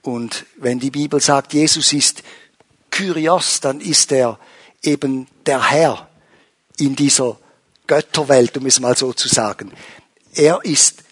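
A man preaching a sermon to his congregation, rising in pitch and volume when he stresses a point. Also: takes it slowly, husky. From Switzerland. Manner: animated, rises in pitch and volume for emphasis. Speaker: pastor.

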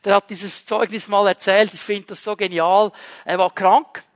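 A man's voice: high-pitched, steady tone, moderate pace, no room sound, studio quality. A man teaching a Bible passage, repeating key points and speaking straight to the listener. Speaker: preacher.

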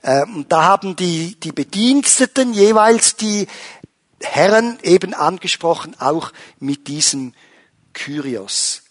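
A man preaching a sermon to his congregation, rising in pitch and volume when he stresses a point. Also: slow pace. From Switzerland. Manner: animated, rises in pitch and volume for emphasis. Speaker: pastor.

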